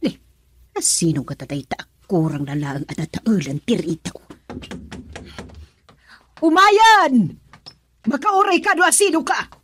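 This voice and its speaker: A woman's voice: on the high side, animated, measured pace, pauses often, husky. A woman playing a defensive, quarrelsome mother in an Ilocano radio drama, exclamatory and argumentative as she stands up for her son. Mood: startled, suspicious.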